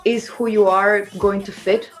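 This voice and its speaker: A woman's voice: mid-range voice, little pitch movement, unhurried, soft background music, low-quality recording. A woman chatting informally as an interviewed band member, in a loose, conversational way. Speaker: band singer and songwriter being interviewed. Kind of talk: casual chat.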